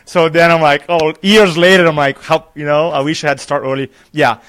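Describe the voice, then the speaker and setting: A man's voice: on the high side, a little fast, smooth, no room sound. A man from Brazil, a native Portuguese speaker with a thick Brazilian accent, chatting animatedly as a live interview guest and acting out dialogue with laughs. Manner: animated storyteller, acts out dialogue, laughs often. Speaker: public speaking trainer, guest. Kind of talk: enthusiastic live interview chat. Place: conference venue.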